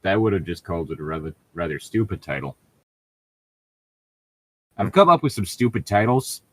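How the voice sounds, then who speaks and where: A man's voice: low-pitched, animated, normal speed, fairly bright tone. A man reading a story aloud as a co-host, breaking off for sarcastic, irritable, profane commentary and casual banter. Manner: sardonic, irritable, profane heckling.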